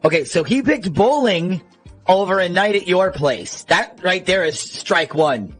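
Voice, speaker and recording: A man's voice: high-pitched, big pitch swings, unhurried, fairly bright tone, soft background music. A man hosting a radio show, bantering playfully with a caller.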